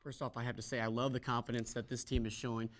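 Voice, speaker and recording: A young man anchoring a student sports show, talking about the team with quick enthusiasm in a TV studio. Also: mid-range voice, flat delivery, fairly bright tone. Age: young adult.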